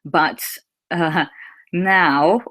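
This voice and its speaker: A young woman with a slightly low voice, whose was Russian. She talks in an easygoing, chatty way and answers viewers' questions one by one. She keeps her words clear and simple for learners. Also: steady tone, drawn-out words, fairly bright tone. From England. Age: young adult. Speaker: English teacher. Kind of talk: casual live Q&A.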